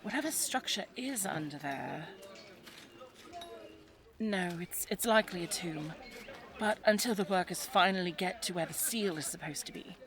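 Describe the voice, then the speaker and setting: Woman playing a low-voiced, spirited young English lady. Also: lively intonation, normal speed, pauses often, crowd noise. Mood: fond, thoughtful. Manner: playful, chatty, well-spoken. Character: spirited, teasing young 1920s English lady on archaeological expedition. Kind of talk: audio roleplay drama, addressed to the listener.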